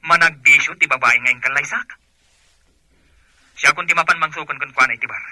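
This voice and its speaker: An actor playing a stern young husband, scolding and indignant, in an Ilocano radio drama. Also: male voice, a little high, fairly quick, pushed and bright, no echo. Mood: stern, annoyed.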